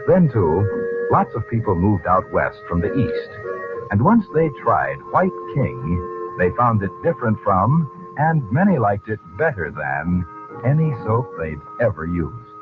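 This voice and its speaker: Male 1940s announcer: theatrical, polished pitchman, highly animated delivery, music behind, narrow old broadcast sound. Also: unhurried. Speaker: radio announcer. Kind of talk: 1940s scripted radio announcing and ad reading.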